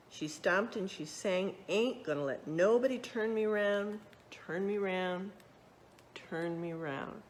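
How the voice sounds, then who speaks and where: A woman reading a children's picture book aloud, expressively, pausing to check in with young listeners. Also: mid-range voice, slight background noise. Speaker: read-aloud storyteller.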